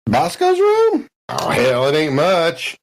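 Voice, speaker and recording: A man plays a laid-back space trucker who lounges among his snacks and talks in a folksy drawl. His pitch swings widely, and the easygoing manner never tightens. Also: wildly swooping pitch, takes it slowly, creaky, heavily acted.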